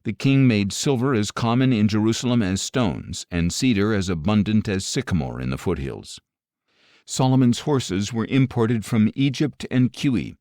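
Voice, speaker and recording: A man with a fairly low voice reads scripture as an audiobook narrator. The recording is dry and close, with no room sound. His delivery is measured and deliberate, each phrase set out clearly. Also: a little mellow, no echo.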